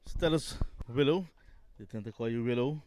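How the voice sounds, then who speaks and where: Cricket commentator calling play live. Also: male voice, medium pitch, fairly quick, darkish tone, no room sound.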